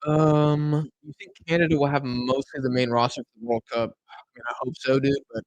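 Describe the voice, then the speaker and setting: Casting: young male streamer, tired and a bit hoarse, rambling chat, dry close sound. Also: mid-range voice, little pitch movement, a little fast, no echo, poor audio. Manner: chatty, rambling, answers chat questions. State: tired, losing his voice. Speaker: live stream host. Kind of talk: casual live stream chat. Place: home room in Argentina.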